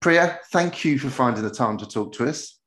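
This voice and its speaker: A man's voice: medium pitch, expressive, moderate pace, fairly bright tone. A man hosting a podcast interview in a casual, jokey and rambling conversational way. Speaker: podcast host. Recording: roomy, echoey sound.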